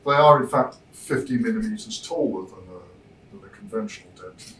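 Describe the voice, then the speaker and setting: A man giving an informal product presentation as a designer, explaining in a measured way and pausing mid-thought. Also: on the low side, lively intonation. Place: demo room at a hi-fi show, indoors. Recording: roomy, distant mic, noisy show room.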